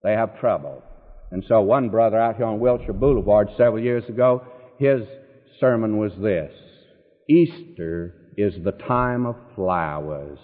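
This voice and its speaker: A man preaching a sermon dramatically, his voice rising when he quotes scripture, recorded long ago. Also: lowish voice. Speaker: pastor. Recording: echoey hall, tinny old recording.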